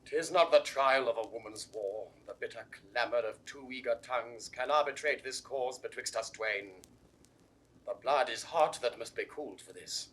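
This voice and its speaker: An actor playing a defiant, indignant knightly duke in impassioned Shakespearean verse. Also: male voice, medium pitch, moderate pace, a bit bright, husky, rough recording. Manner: impassioned declamation with swelling emphasis. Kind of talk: classical Shakespearean verse acting, 1960s recording.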